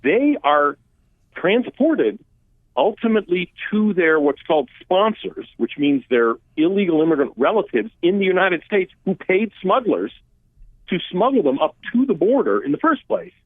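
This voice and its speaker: A man's voice: high voice, sing-song, moderate pace, dry recording. A man, a policy expert guest, talking through immigration issues in a conversational, emphatic way with frequent restarts. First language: Armenian. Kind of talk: political talk radio interview. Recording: phone-line guest audio, dry.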